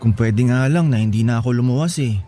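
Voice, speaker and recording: A man's voice: medium pitch, quick pace, warm tone, soft background music, close to an everyday voice. A man playing a devoted young boyfriend in a Filipino radio romance, speaking earnestly and tenderly. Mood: wistful. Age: young adult. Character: devoted young boyfriend leaving to study in the city. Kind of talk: Tagalog radio romance drama acting.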